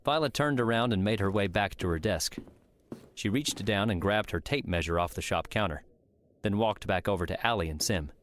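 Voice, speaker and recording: A man narrates a story for an audiobook in a fairly low voice. The delivery is scripted third-person storytelling. Some background noise and ambience sits behind him. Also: moderate pace, fairly bright tone.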